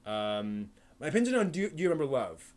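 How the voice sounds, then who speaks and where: A man hosting a casual live stream who thinks aloud and rambles, with many pauses, ums and restarts. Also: a little high, sing-song, darkish tone. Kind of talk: casual live-stream chat answering chat questions.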